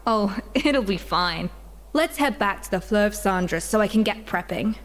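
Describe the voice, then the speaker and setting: A woman playing a proud, exacting young chef in a video game, speaking in a crisp, formal, lecturing way. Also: medium pitch, expressive, a little slow, natural voice. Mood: calm, assured. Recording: long reverb with music behind.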